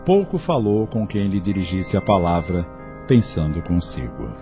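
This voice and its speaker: A man's voice: low-pitched, very animated, moderate pace. A man narrating a scripted audio novel as a storyteller. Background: instrumental music.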